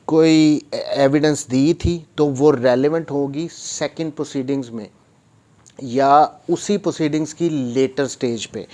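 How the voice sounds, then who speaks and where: A man's voice: a little high, a little mellow. A man lecturing on law in a methodical, explanatory way, switching between two languages and repeating key points. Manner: code-switches between Hindi and English. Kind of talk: explanatory law lecture, reading provisions then explaining them.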